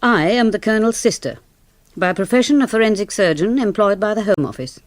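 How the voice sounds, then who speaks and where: An actress playing a wry, precise English spinster forensic surgeon who narrates a radio murder mystery. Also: female voice, mid-range voice, brisk, no echo, close to an everyday voice. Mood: composed, wry. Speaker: narrator and character in a radio drama. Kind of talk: scripted radio drama narration.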